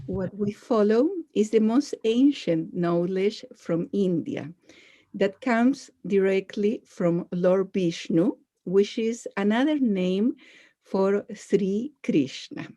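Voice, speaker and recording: A woman with a Chilean accent and Spanish as her first language, a monk giving a spiritual talk to a group in measured, deliberate phrases. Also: mid-range voice, dark tone. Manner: measured, deliberate phrasing with pauses between words. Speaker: Vaishnava monk and spiritual teacher.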